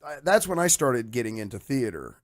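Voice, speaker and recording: A man's voice: mid-range voice, sing-song, fairly quick, no echo, studio quality. A middle-aged man chatting casually as a podcast host, joking and reminiscing.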